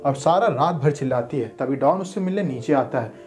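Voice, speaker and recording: A man's voice: medium pitch, lively intonation, fairly quick, darkish tone. A man narrating a movie recap from a script, with music behind him. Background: background music.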